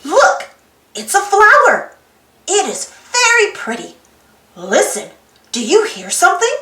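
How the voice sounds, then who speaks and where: A woman reading a children's storybook aloud in an expressive, sing-song way, putting simple questions to young listeners. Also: sits high, roomy sound, low-quality recording. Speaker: storybook reader. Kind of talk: children's read-aloud narration.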